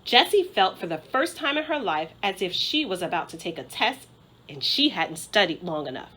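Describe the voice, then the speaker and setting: A woman narrating a children's storybook aloud in an expressive style, like a school principal reading to pupils. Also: medium pitch, normal speed, husky, poor audio.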